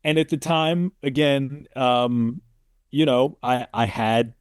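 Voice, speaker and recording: A man's voice: mid-range voice, steady tone, slow pace, clear, resonant tone, dry recording, studio quality. A middle-aged American man, an interview guest, talking casually and reflectively in a storytelling way. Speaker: interview guest, sports play-by-play announcer.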